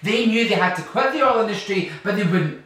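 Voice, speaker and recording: Militant eco-activist , male actor, uncompromising and emphatic, forceful delivery, off-mic in a reverberant space. Audio play. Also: high voice, animated, fairly quick. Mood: furious. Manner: preachy, emphatic, argumentative. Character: angry militant climate activist man, righteous and uncompromising. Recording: very echoey room, distant, faint noise.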